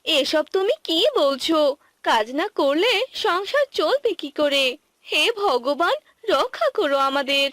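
A woman voicing a worried, caring, expressive young farmer's wife in a cartoon. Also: high-pitched, measured pace, no echo, clean studio sound, full character voice. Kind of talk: Bengali cartoon dialogue dubbing.

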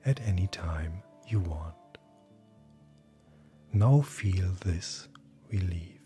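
A man speaking as a hypnotherapist, guiding sleep hypnosis in a calm, gentle, breathy voice that often nears a whisper. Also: low-pitched. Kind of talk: guided hypnosis, soothing scripted narration. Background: soft background music.

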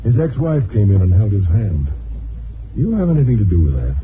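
A man's voice: lowish voice, big pitch swings, fairly quick, slight background noise. A man playing a sympathetic hospital doctor in a 1950s radio drama. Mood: gentle, somber. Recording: tinny old radio recording, faint music.

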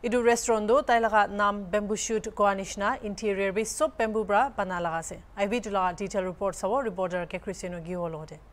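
A woman news anchor reading a scripted report in a TV studio, steady and even. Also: mid-range voice, quick pace, hardly any pauses.

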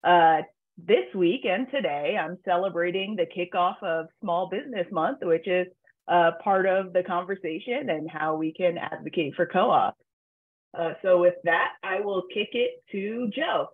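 A woman, a government relations director, introducing herself on a video call in a friendly, brisk way. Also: on the low side. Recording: tinny phone-like line.

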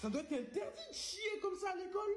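Young guy doing animated skits on his phone, jumps all over in pitch, music in back. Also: fast. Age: young adult. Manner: animated, switching voices for each character. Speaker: comedy creator. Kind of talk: comedy sketches, playing all roles. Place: small apartment room, indoors.

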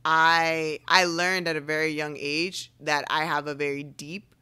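A young woman speaking casually and assertively as a podcast guest, choosing her words deliberately. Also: sits low, little pitch movement, pushed and bright, smooth. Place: living room couch, indoors. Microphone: podcast microphone on boom arm.

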